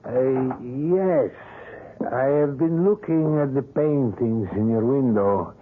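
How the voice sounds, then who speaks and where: An actor playing a sly, formally polite artist in a 1970s radio drama, with a husky voice and hesitant, precise phrasing. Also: male voice, medium pitch, takes it slowly, a little hiss or hum, close to an everyday voice. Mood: polite, evasive. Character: mysterious, courteous, sly male portrait sculptor. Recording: muffled, narrow vintage radio broadcast.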